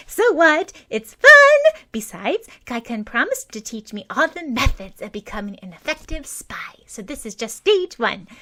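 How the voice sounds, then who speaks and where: A woman voicing a giddy, starry-eyed young girl who squeals with excitement. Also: on the high side, voice pushed up.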